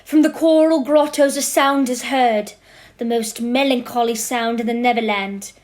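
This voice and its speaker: A woman playing a knowing storybook narrator in an audio drama, telling it in an expressive, literary way. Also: fairly high pitch, normal speed, natural voice. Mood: solemn.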